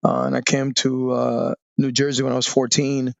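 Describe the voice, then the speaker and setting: A man in his forties with a Dominican Spanish accent, a Spanish native speaker, talking as a Navy veteran guest in a podcast interview, often halting and restarting his sentences. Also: medium pitch, completely flat delivery, vocal fry, dry recording. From Dominican Republic. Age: 40s. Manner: halting, with many restarts and fillers. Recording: dull lo-fi dry sound.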